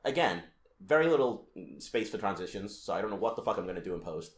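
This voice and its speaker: A man's voice: lowish voice, expressive, quick pace, reverberant. A young man co-hosting a casual podcast, rambling and laughing, with lots of swearing and restarts. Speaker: podcast co-host. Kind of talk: casual chat.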